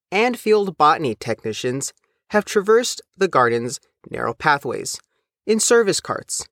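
A man reading a scripted news feature as the reporter, in a measured, careful way. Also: fairly high pitch, a bit bright, no echo, clean studio sound. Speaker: reporter and narrator.

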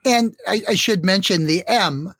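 A man's voice: high-pitched, measured pace, fairly bright tone, slightly rough. A man hosting a podcast interview who asks casual questions to prompt his guest. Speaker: podcast host.

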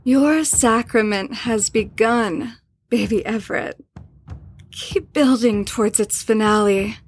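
A woman's voice: on the high side, slow, a little hiss or hum. An actress playing a commanding, taunting spirit woman who haunts a manor in a horror audio drama, with an insinuating manner. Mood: commanding, ritual. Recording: voice over prominent background music.

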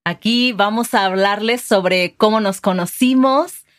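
Una mujer mexicana con acento mexicano, co-presentadora de un podcast, que guía la conversación con preguntas amables. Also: female voice, medium pitch, measured pace. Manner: friendly, guiding the interview with questions. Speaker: podcast co-host. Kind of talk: casual chat.

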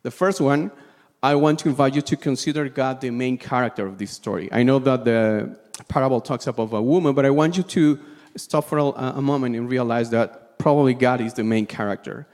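A man from Peru with a Peruvian Spanish accent preaches a sermon in English as a second language, pausing now and then to search for words. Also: medium pitch, darkish tone. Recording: long hall reverb.

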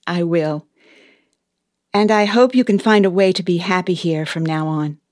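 An actress playing a patient, caring elf personnel boss in an audio play, measured and gently wry. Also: female voice, on the low side, dry recording, studio quality, natural voice. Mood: warm, reassuring.

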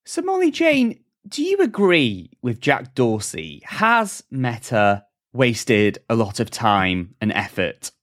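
A man's voice: mid-range voice, wildly swooping pitch, slow, hardly any pauses, fairly bright tone. A man hosting a podcast, joking wryly in chat and then reading news items in a scripted tone. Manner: wry, joking, shifts into a scripted news read. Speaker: podcast host.

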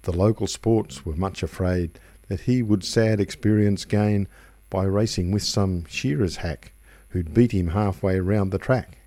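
A man reciting a rhyming tale as a radio storyteller, in measured, rhythmic phrases. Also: low-pitched, mellow, dry recording. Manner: measured, rhythmic verse delivery. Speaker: radio reader. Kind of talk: expressive poetry recitation, storytelling.

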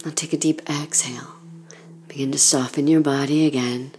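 A woman leading a guided meditation in a soft, breathy, soothing voice with gentle pauses, often close to a whisper. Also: low-pitched, steady tone, soft background music. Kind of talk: guided meditation, body scan narration.

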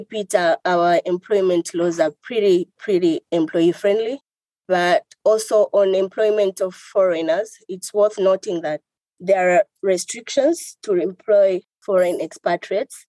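A woman from Tanzania, a lawyer guest on a podcast, explaining her country's business and employment law in a measured way. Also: on the low side, dark tone, dry recording, poor audio. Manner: measured, explanatory, lists items. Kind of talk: podcast interview answers.

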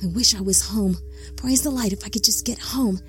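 A woman's voice: medium pitch, normal speed, fairly bright tone, soft background music, close to an everyday voice. A frightened young man pleads to be set free in a storybook, as a woman reads him. His words come urgent and desperate.

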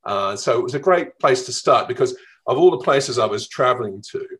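A middle-aged man from Melbourne with an Australian accent, telling travel stories in a radio interview, reflective and lively, with ums and restarts. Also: mid-range voice, fairly quick. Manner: reflective, lively storytelling with fillers. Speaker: travel writer guest.

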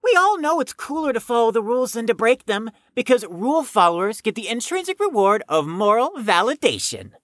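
A woman's voice: on the high side, big pitch swings, brisk, few pauses, no echo, studio quality. A woman playing a prim, haughty young know-it-all in an audio drama, pompous, precise and wordy. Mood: indignant, smug.

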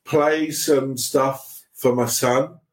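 A man's voice: mid-range voice, steady tone, very slow. A man in a casual podcast chat, talking in a loose, rambling way and swearing now and then. His sentences often stop and start over. His voice sounds echoey, as if recorded in a bare room. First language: English. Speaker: podcast guest.

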